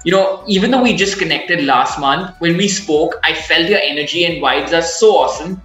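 A man's voice: on the high side, normal speed, fairly bright tone, roomy sound, faint music behind, low-quality recording. A man hosting a podcast in a conversational interview style. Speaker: podcast host.

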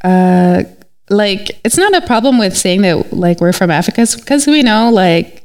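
A woman's voice: mid-range voice, animated, normal speed, a little mellow, clear, resonant tone. A young woman born in Jamaica, talking in a casual interview as a mural painter, with many fillers and restarts.

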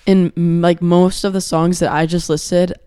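A young man co-hosting a podcast, talking in a loose, rambling way with plenty of ums. His voice is a bit hoarse, yet it comes through smooth and clean. The close mic sits in a dry space with no room echo. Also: high-pitched, little pitch movement, moderate pace, dark tone. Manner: rambling, filler-heavy. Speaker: podcast co-host. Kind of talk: casual chat.

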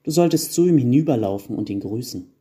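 A man voicing a motherly, brisk gnome wife in a storybook tale. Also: medium pitch, expressive, warm tone, natural voice. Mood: motherly, firm.

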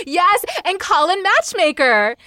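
A woman's voice: extremely high pitch, sing-song, slow, bright, forceful tone, no echo, studio quality. A woman hosting a casual fan podcast, chatting with gushing enthusiasm. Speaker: podcast host interviewing a guest.

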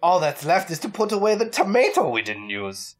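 A woman's voice: fairly low pitch, sing-song, a little fast. A woman voicing a polite mother pepper shaker in a kitchen family.

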